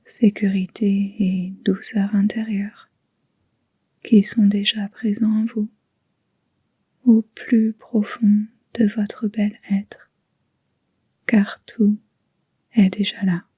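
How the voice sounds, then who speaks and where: A woman guiding a yoga nidra relaxation in a soft, breathy near-whisper, gentle and soothing, with long unhurried pauses. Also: mid-range voice, level tone, no echo. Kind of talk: guided relaxation meditation.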